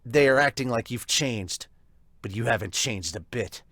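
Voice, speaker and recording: A man's voice: mid-range voice, lively intonation, moderate pace, no room sound. Angry young man, bitter and sarcastic, hiccups; a man reads him in a fan dub. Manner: bitter, sarcastic, rising to shouting. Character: angry young man lashing out at his father.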